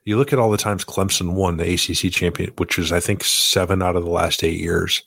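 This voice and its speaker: Deep husky man breaking down betting lines. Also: steady tone, fairly bright tone, no room sound, studio quality. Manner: measured, analytical, hedging. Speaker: podcast co-host. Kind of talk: casual sports betting chat.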